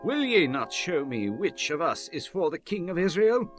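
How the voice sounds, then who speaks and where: Man acting a commanding, high-voiced ancient scripture leader. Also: very animated, moderate pace. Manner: declamatory, formal. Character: commanding men of ancient scripture, prophet and kings. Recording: music bed under the voice.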